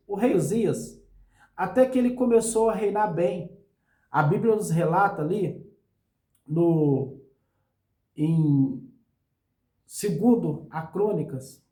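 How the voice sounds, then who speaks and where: A male pastor preaching a sermon for his online channel, hoarse from a cold, in an earnest and unhurried way. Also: sits high, mellow, echoey room. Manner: earnest, slow preaching with frequent "meus irmãos".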